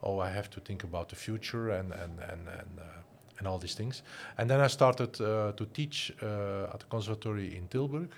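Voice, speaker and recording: Flemish man, 40s, low voice, halting non-native English, faint background noise. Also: gravelly. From Flanders, Belgium. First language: Flemish Dutch. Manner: hesitant, many restarts and fillers. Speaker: guest, design school director and musician. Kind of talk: casual interview talk.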